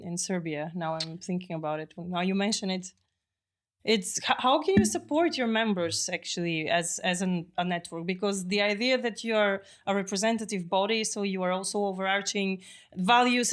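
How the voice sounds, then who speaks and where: A woman's voice: mid-range voice, fairly quick. Woman podcast host asking questions. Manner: friendly, laughing, probing questions. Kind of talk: podcast interviewing. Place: living room studio with plants and books. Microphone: studio mic on stand.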